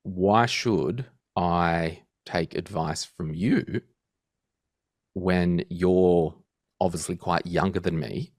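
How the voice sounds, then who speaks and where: Guy in his 30s, very low voice, lively storyteller, dry close sound. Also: very slow, no echo. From Australia. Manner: animated storyteller, acts out quotes. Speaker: guest financial podcaster. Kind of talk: casual interview chat.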